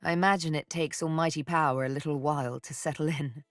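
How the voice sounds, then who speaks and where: An actress voicing a seductive, menacing elven assassin woman in a fantasy game, intimate and teasing, with breathy, deliberate delivery. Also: sits low, steady tone, no room sound, clean studio sound, close to an everyday voice. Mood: curious, fond. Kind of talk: dramatic fantasy game voice acting.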